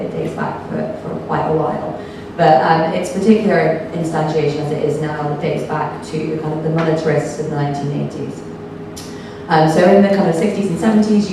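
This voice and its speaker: A woman with a low, slightly croaky voice lectures on economics to an audience, heavy on fillers. She sounds distant from the mic, and a big echoey hall with steady noise surrounds her. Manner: explanatory, many fillers like um and kind of. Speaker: think tank researcher, panel speaker. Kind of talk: public talk at a panel event. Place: event hall. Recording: echoey hall, from far off, noisy.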